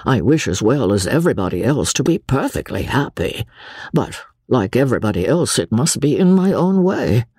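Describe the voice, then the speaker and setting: A mild young gentleman from a classic novel, voiced by a woman reader. He is courteous and wry, teasing gently in a low, husky voice with lively intonation. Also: moderate pace, no room sound, clean studio sound, full character voice. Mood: quietly sincere.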